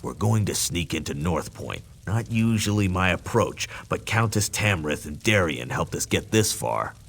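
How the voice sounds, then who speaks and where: An actor voicing a gruff, loyal soldier with a dry humor in a video game, his voice gravelly and husky. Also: sits low, lively intonation, normal speed, slight background noise. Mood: matter-of-fact briefing. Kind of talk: video game character dialogue.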